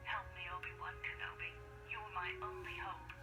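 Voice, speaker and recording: An actress playing a dignified, resolute young princess in an audio storybook, formal and urgent. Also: female voice, fairly low pitch, little pitch movement, normal speed, close to an everyday voice. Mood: pleading, urgent. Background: music.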